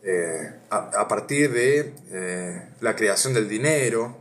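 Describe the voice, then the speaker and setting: Low-voiced Argentine man, hesitant live reading. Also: animated, takes it slowly. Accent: Argentine Rioplatense Spanish. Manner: thinking aloud with many hesitations. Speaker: philosophy streamer reading aloud. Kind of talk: casual live reading and chat.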